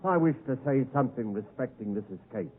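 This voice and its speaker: An actor playing a desperate, dogged escaped convict, rough and emphatic, in 1950s radio drama style. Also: male voice, medium pitch, animated, fairly quick, close to an everyday voice. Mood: grave, contrite. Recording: tinny, noisy 1950s radio broadcast.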